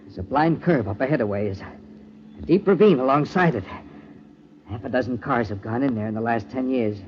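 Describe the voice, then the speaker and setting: An actor playing a desperate, guilt-ridden killer in an old-time radio drama, hard-boiled but cracking with nerves. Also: male voice, medium pitch, natural voice. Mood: grim, strained. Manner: halting, stammering when explaining. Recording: dull, noisy old radio recording.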